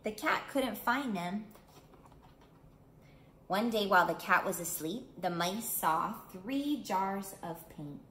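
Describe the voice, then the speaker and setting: Middle-aged female storytime reader for kids, slow and simple delivery, echoey room with clear background noise. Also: mid-range voice, lively intonation, fairly bright tone. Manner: simple, clear phrasing with questions to young listeners. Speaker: children's art studio teacher reading storytime. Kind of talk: picture book read-aloud for young children. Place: small indoor room. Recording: roomy indoor echo, clear background noise.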